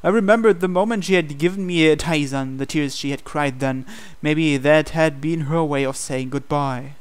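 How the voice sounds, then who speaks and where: Male narrator, slightly high pitch, crisp clean voice, dramatic scripted reading, visual novel storytelling. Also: normal speed. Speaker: playthrough narrator.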